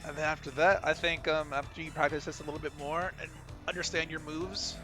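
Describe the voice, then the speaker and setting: Higher-voiced man coaching over loud game music. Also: little pitch movement, a little fast. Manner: instructive, counts out inputs aloud, informal. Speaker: video creator coaching a fighting game player. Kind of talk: casual gameplay analysis commentary. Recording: loud game music and sound effects behind. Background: loud fighting game music and effects.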